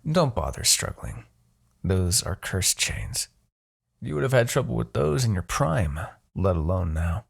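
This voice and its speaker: Man voicing a gravelly, imperious demon king. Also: sits low, sing-song, exaggerated voice. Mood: smug, threatening. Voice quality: gravelly, husky. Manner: theatrical, deliberate, imperious, with dramatic pauses. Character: proud vengeful demon king, grandiose, coaxing, menacing. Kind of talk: fantasy ASMR roleplay monologue.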